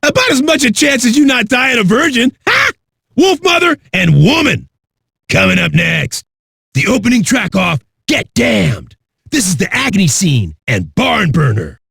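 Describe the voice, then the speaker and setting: Raspy, amped-up metal radio DJ. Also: male voice, extremely high pitch, wildly swooping pitch, a little slow, bright, forceful tone, no echo, studio quality, heavily acted. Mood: cocky, amped. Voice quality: raspy, gravelly. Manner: aggressive, rowdy, swaggering delivery. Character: brash, hyped-up, foul-mouthed male metal radio DJ. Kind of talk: high-energy rock radio DJ patter.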